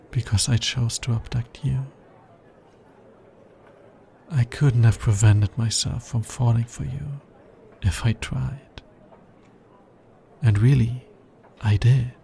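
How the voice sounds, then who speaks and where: Slow, mellow, smitten alien scientist played by a man. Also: mid-range voice, creaky, slight background noise. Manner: soft-spoken, measured, wistful, intimate. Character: smitten male alien scientist, earnest, tender, fascinated by humans. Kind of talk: ASMR romance roleplay.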